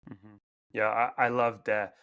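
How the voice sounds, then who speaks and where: A man's voice: fairly low pitch, little pitch movement, a little slow, a bit bright, dry recording. A man in his twenties from Brazil, speaking English with a Brazilian accent as a second language, hosting a casual podcast interview and often repeating words as he searches for phrasing. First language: Portuguese. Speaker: podcast host.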